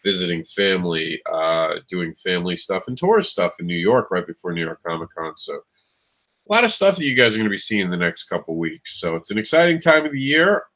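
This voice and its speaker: A lowish male voice, stuffed up and nasal from a cold that won't clear, with a slightly scratchy throat. He hosts a casual live show, talking loosely with plenty of ums, false starts and self-corrections. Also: sing-song, fairly quick, a bit bright. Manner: rambling, many ums and restarts. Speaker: show host. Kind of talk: casual livestream chat.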